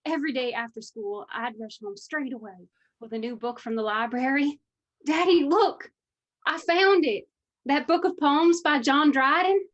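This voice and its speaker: An actress playing a bookish, wistful mountain daughter in a stage monologue, storytelling lyrically with an Appalachian accent. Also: female voice, high voice, moderate pace, dull audio, lightly acted. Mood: eager. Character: bookish, wistful mountain daughter reminiscing about her father.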